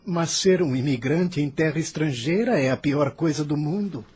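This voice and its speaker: A man's voice: a little high, moderate pace, darkish tone, soft background music, lightly acted. An actor with a Brazilian accent playing an embittered, stubborn immigrant man who argues with emphatic self-pity in an audio novel. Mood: bitter insistence. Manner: argumentative, self-pitying, emphatic. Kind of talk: dramatized audio novel dialogue.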